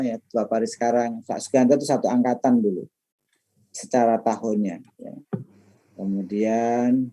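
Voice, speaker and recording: The mellow-voiced older man at the front of the first class of term, chatting with students about himself before the syllabus starts. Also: medium pitch, low-quality recording. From Madiun, East Java, Indonesia. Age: middle-aged, late 50s. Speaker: lecturer and trainer. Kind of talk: informal class introduction, chatty autobiographical talk.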